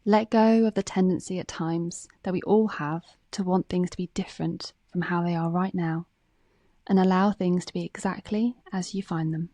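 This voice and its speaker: A woman reading a guided meditation script in a calm, gentle, measured way, with phrases that soften at the ends. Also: lowish voice, dry recording.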